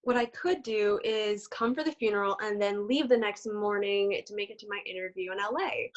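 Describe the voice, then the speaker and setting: A woman's voice: mid-range voice, normal speed, muffled recording, lightly acted. A young woman playing an ambitious daughter in an amateur online drama, speaking earnestly. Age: young adult.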